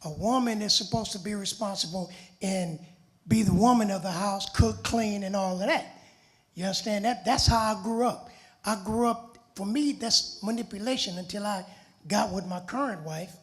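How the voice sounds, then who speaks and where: A middle-aged man from Los Angeles with a raspy voice, giving a plain-spoken personal testimony in a streetwise storytelling manner. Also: high voice, moderate pace. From Los Angeles, USA.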